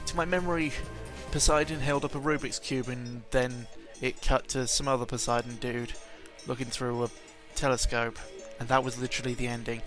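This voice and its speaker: Music plays clearly behind a man with a mid-pitched voice. He talks casually as a gaming vlogger, rambling and doubling back on himself, with jokey exaggerated emphasis. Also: steady tone, normal speed, hardly any pauses, fairly bright tone. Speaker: video game vlogger. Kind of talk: casual rambling audio log commentary on games. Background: clear background game music.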